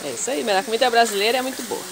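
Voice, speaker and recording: A woman's voice: on the high side, rapid-fire, gravelly. A woman chatting casually as a co-host, with short, agreeable, appreciative reactions. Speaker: co-host filming the cook. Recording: loud frying sizzle and stirring noise.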